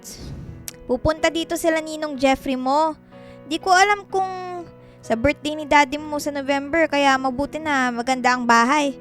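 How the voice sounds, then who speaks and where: A woman's voice: sits high, moderate pace, fairly bright tone, soft background music. A woman playing a nagging, exasperated mother of a teenage girl in a Filipino radio drama, scolding and exclaiming. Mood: grumbling, matter-of-fact.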